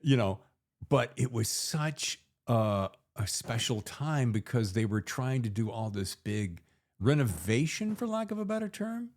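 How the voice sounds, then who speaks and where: A middle-aged man hosting a podcast in casual interview chat, telling anecdotes in an animated way and acting out dialogue. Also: medium pitch, measured pace, dry recording, clean studio sound. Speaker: podcast host.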